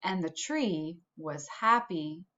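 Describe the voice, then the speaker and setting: A woman's voice: lowish voice, animated, slow pace, a bit bright, muffled recording. A woman reading a storybook aloud to children, like a school principal addressing pupils.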